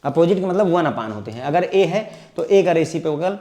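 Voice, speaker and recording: A man's voice: a little high, lively intonation, fairly quick, dark tone. A man with an Indian accent teaching an exam prep lesson, asking the class questions and answering them himself. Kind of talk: explanatory lecture.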